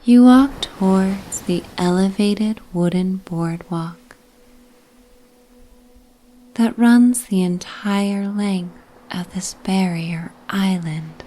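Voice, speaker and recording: A woman narrating a bedtime sleep story in a soft, breathy, half-whispered, soothing ASMR manner. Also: medium pitch, extremely slow.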